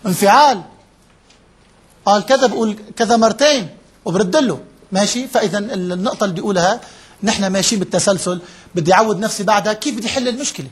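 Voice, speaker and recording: Male workshop trainer, higher voice, slightly husky, talks fast, keeps checking the room understands. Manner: explains step by step, checks in with listeners often; drops English terms into his speech. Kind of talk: interactive lecture to a live group.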